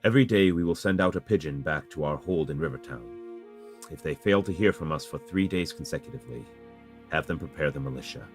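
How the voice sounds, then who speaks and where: A man voicing a calm, calculating feudal lord in a visual novel, speaking in a measured, deliberate way. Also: a bass voice. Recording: loud background music over the voice. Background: loud new-age music.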